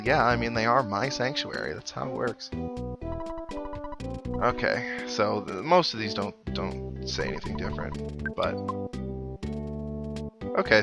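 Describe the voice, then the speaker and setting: A man's voice: on the low side, gravelly. Man chatting over video game music. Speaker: let's play host. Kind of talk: casual video game commentary. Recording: game music playing behind the voice.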